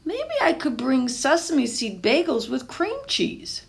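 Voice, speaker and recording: Woman putting on an eager, high-pitched little storybook boy. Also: big pitch swings, slow, vocal fry, exaggerated voice. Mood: hopeful. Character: eager young boy, child of bakers.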